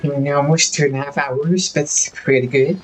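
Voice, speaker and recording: A man livestreaming a game, making casual, low-key running remarks as he plays. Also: steady tone, normal speed, soft background music. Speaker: game streamer. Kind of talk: casual livestream gameplay chat.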